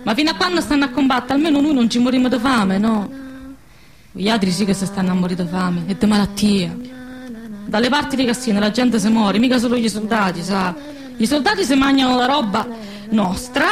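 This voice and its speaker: A woman's voice: fairly high pitch, a little fast, crowd noise, natural voice. An actress playing a naive, chatty wartime village woman in a rural southern Lazio dialect, speaking emphatically. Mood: earnest, chatty. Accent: rural southern Lazio, central Italy. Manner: chatty, emphatic, tag questions. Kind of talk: dialect stage drama acting.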